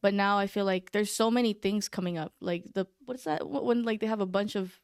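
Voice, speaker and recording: Female guest, crisp, bright-ish voice, dry close sound, halting casual talk. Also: medium pitch, no room sound. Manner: hesitant, filler-heavy. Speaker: podcast guest. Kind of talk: casual podcast chat.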